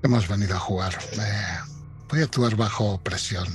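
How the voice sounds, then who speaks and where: A man's voice: fairly low pitch, moderate pace, soft background music. A man playing a tabletop game, talking casually and bluntly, with some swearing. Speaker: player.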